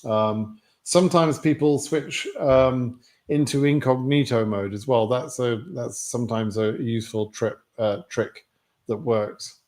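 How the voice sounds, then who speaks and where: A man's voice: mid-range voice, a little slow, a little mellow. A man with a British accent hosting a livestream Q&A, reading out viewer questions and answering them bluntly and matter-of-factly. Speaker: livestream host answering immigration lottery questions.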